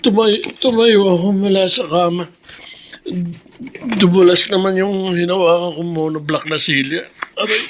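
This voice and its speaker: A man playing a remorseful father in a Filipino radio drama, speaking in a halting, emotional way. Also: high-pitched, fairly even intonation, a little hiss or hum, lightly acted. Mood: strained. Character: remorseful, troubled ailing father.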